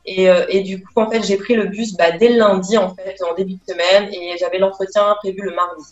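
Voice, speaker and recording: A young woman talking over a video call, unhurried and hesitant, stopping often to restart. Her laptop mic catches a roomy echo and muffled, lo-fi sound. Faint music plays underneath. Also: mid-range voice, fairly even intonation. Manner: hesitant, many fillers and restarts. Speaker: interview guest. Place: small room indoors. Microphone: laptop webcam mic on video call.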